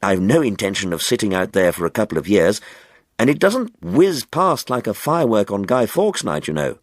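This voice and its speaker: A man's voice: lowish voice, sing-song, normal speed, no echo, studio quality. Rapturous amateur stargazer, acted by a male reader, swooping expressively. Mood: reproving. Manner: rapturous, emphatic, lingering on words. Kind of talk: audiobook character dialogue.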